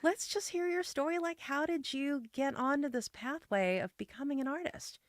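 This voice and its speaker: A woman's voice: high voice, moderate pace, dry recording. Woman podcast host, enthusiastic, gushing, dry close sound. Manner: enthusiastic, gushing, many likes and yeahs. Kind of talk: hosted interview. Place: podcast studio.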